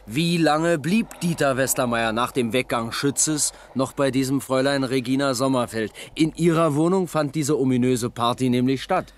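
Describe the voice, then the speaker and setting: An actor playing a sceptical police lieutenant in a radio crime drama, measured and deliberate in his questioning. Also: mid-range voice, close to an everyday voice. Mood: pondering.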